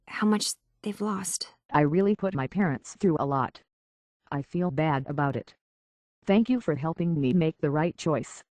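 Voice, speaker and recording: An actress playing an earnest, troubled young woman searching for answers in a video game, speaking in a halting, hushed way. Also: on the low side, dry recording, studio quality, natural voice. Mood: regretful, subdued.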